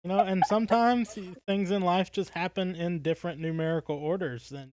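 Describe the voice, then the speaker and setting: A man's voice: sits high, fairly even intonation, fairly quick, darkish tone, dry recording. A man around his thirties co-hosting a casual livestream chat, rambling along in a jokey, tongue-in-cheek way. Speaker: podcast co-host.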